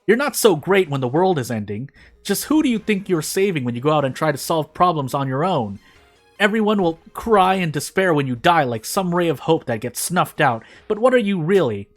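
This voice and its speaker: A man's voice: a little high, very animated, brisk, soft background music, voice pushed up. A man voicing a bitter, wounded young witch girl in a storybook reading, accusing and impassioned. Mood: furious ranting.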